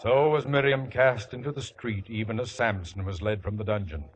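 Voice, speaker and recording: An actor playing a grave scriptural storyteller, narrating a 1950s radio drama in solemn biblical cadence. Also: male voice, on the low side, normal speed, background noise, natural voice. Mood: grave, dramatic. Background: music.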